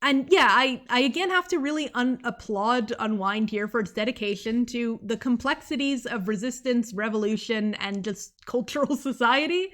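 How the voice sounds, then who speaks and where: A young woman talks through a book in a video essay, her voice sitting a bit higher than most. She sounds earnest and analytical, and she wanders into side remarks with a small laugh before picking her script back up. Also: moderate pace, hardly any pauses, fairly bright tone. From United States. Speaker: book reviewer.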